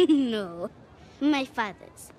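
A woman's voice: fairly high pitch, lively intonation, extremely slow, a little mellow, slight background noise. A woman playing a plucky young girl street vendor in a video game, with an Indian accent and a cheeky, persistent haggling manner. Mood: eager haggling. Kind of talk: cinematic video game acting. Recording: clear outdoor street ambience behind.

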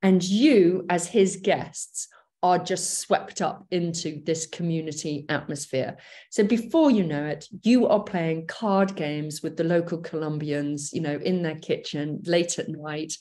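A woman's voice: deep voice, unhurried, warm tone. A middle-aged woman giving an online pre-departure briefing over a video call, chatty and enthusiastic, with plenty of fillers and restarts. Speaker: gap year program briefer. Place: home office, indoors.

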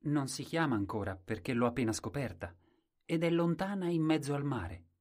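A man's voice: medium pitch, animated, moderate pace, voice pushed up, natural voice. A man voicing a humble, simple-minded young man at a royal court, speaking meekly and crying out eagerly. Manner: soft, humble, wide-eyed replies, cries out eagerly.

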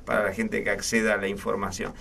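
A man with an Argentine accent hosting a casual radio interview, brisk and quick to acknowledge his guest. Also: on the low side, little pitch movement, gravelly, a crowd in the background. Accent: Argentine Spanish. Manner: brisk, frequent short acknowledgements. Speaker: radio host. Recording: distant mic with background voices.

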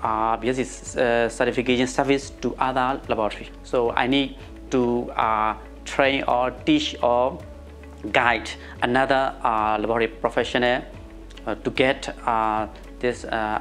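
Middle-aged male interviewee, Burmese accent, medium pitch, halting answers. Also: fairly even intonation, a bit bright, faint music behind. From Myanmar. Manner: halting, searching for words. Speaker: interviewee, laboratory professional. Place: indoor office room. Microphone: lapel.

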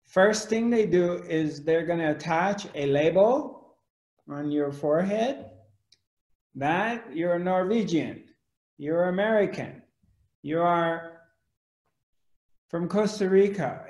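A man in his forties speaks slowly to a webcam in a room at home, asking question after question with broad hand gestures. His voice sits a little high. The room adds a noticeable echo. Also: darkish tone. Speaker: spiritual teacher. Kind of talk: spiritual discourse to camera. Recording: echoey room.

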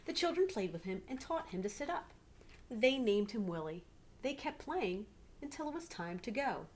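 A middle-aged woman reads aloud expressively like a teacher, pausing to prompt young listeners. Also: a little high, sing-song. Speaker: teacher reading a picture book aloud to pupils. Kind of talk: read-aloud storytelling with questions to children. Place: small living room indoors. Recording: clearly noisy home webcam recording.

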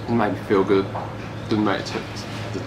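A young English man with a fairly low voice chats casually as he reviews food between bites. The recording is from a camera across the table, with clear ambient noise behind him. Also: level tone, a little fast. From England. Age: young adult. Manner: talks while eating. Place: small room, seated at wooden table. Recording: noisy ambient room recording.

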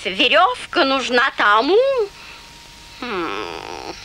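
A woman voicing a wise old tortoise in an animated film, speaking in a deliberate, thoughtful way with pauses. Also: a little high, slight background noise, exaggerated voice. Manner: slow, deliberate, pondering with pauses. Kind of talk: animated film character acting.

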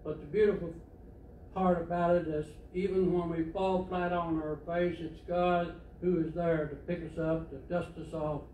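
Older preacher, echoing far off. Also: male voice, fairly high pitch, little pitch movement, moderate pace, mellow, highs cut off. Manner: plainspoken storytelling, conversational. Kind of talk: sermon. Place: church sanctuary, indoors, standing at a pulpit. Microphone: far camera. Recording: echoey church from far off, faint hiss.

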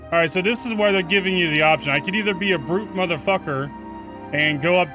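Man, fairly high voice, slangy gamer commentary, profane, game music behind. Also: fast talker. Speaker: gaming YouTuber. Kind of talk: casual gameplay commentary. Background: video game music.

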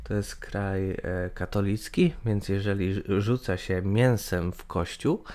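A man's voice: deep voice, fairly even intonation, measured pace, darkish tone. A young man in his twenties giving his opinion to camera, hesitant with many fillers and restarts, recorded on a webcam in a small room. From Poland. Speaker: gaming channel host. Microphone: webcam in corner of screen capture.

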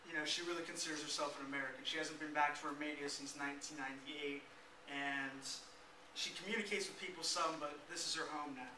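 A man's voice: fairly high pitch, recorded from a distance, noisy background. A young man giving an informative class speech, reading from notes with many fillers. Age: young adult. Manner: reads from notes, many "um" and "you know". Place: classroom, indoors. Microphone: far camera at the back of the room. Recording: echoey classroom, taped from afar.

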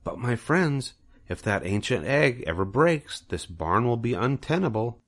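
A man's voice: medium pitch, very animated, a little slow, a bit bright, pitched above the performer's own voice, full character voice. A man voicing a kindly, formal female spider in a storybook. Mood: calm warning.

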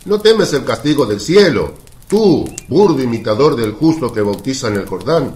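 Self-righteous Pharisee played by a man, radio drama, lively intonation, pompous and denouncing. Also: medium pitch, normal speed, natural voice. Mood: scornful accusing. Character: hostile, self-righteous male Pharisee accusing with scorn. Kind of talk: Spanish radio drama acting.

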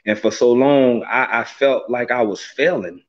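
A man's voice: mid-range voice, measured pace. A man in his thirties, a gospel singer being interviewed over a video call from a small room, talking in an earnest, reflective way with many "you know" fillers. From Florida, USA. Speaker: gospel recording artist, guest. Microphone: webcam on a video call, close framing. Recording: slightly dull lo-fi video call audio.

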